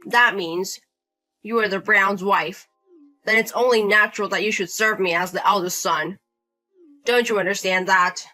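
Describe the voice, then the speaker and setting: A woman performs a bullying elder brother-in-law from a narrated story drama. He talks down to everyone, bossy and contemptuous, his bright, tense mid-range voice pushing every demand. A faint music bed runs underneath. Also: medium pitch, fairly even intonation, normal speed, close to an everyday voice. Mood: lecturing. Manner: demanding, scornful, condescending. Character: bullying entitled older brother-in-law, man in his thirties.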